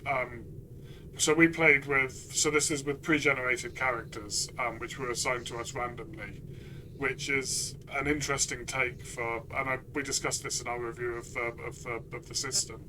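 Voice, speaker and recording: A man discussing a game conversationally as a player and reviewer, reflective and pausing mid-phrase. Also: mid-range voice, fairly even intonation, noisy background.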